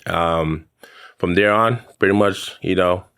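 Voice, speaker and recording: A man in his thirties from American Samoa, a podcast guest telling his story in a halting, casual way with many fillers and restarts. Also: low-pitched, fairly bright tone, clean tone. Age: 30s. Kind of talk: casual interview chat.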